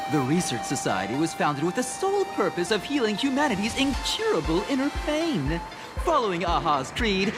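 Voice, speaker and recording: A woman playing a devout, effusive recruiter for a happiness society in a video game, speaking formally and preachily. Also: fairly high pitch. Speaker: recruiter for a mutual aid society. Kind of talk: theatrical video game dialogue.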